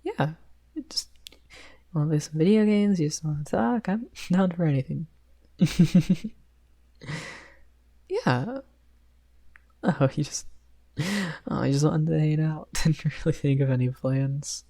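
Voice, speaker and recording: A woman's voice: sits low, lively intonation, a little slow, gravelly, long pauses, dark tone, heavily acted. A woman playing a shy young male best friend in an ASMR roleplay, rambling hesitantly with stammers and nervous giggles. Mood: shy, friendly.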